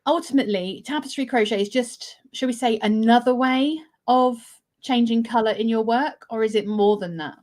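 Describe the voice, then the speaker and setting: A woman's voice: fairly high pitch, moderate pace, hardly any pauses, a little mellow. A woman with a British accent hosting a live crochet stream, chatty and effusive, on a video call. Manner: chatty and effusive, with fillers and laughs. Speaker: host of a live crochet show. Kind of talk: casual live-stream chat.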